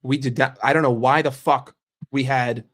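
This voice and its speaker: A man's voice: mid-range voice, steady tone, moderate pace, fairly bright tone. A young man streaming, chatting casually with his viewers, joking and swearing freely. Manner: profane, joking, reacting to chat. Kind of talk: casual livestream chat.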